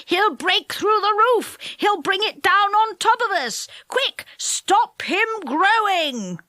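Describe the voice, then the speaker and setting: A woman's voice: very high voice, animated, takes it slowly, voice pushed up, full character voice. A woman voicing a panicking crowd of little goblins and pixies in a storybook, calling out frantically. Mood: frantic. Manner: shouting, frantic.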